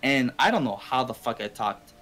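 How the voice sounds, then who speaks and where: A man's voice: medium pitch, lively intonation, normal speed, a bit bright. A young man from Canada telling a rambling, slangy, profanity-heavy story casually on a game stream. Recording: game music under the voice. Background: video game music.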